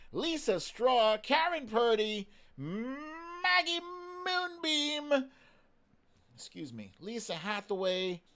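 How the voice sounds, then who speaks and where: Higher-pitched man hosting a live stream. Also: fairly bright tone. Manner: playful, exclamatory, chatty. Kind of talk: casual live stream chat with viewer shout-outs.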